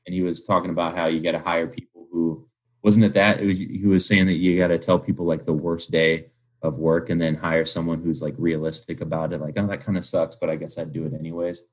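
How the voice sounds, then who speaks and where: A man in his twenties with a deep, husky voice. He speaks as a guest in a casual interview, candid and informal, leaning on slang and filler words. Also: flat delivery, fairly quick, poor audio. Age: 20s. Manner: informal slangy speech peppered with "like". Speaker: podcast guest, young entrepreneur.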